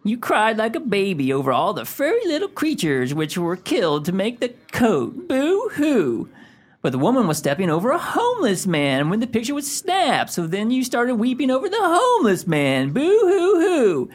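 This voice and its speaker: A man acts out a hot-tempered, domineering male suitor in a radio drama. His delivery is scornful and emphatic, with pitch that swings widely as he mocks and scolds. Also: wildly swooping pitch, normal speed, exaggerated voice. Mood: mocking. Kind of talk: radio play acting.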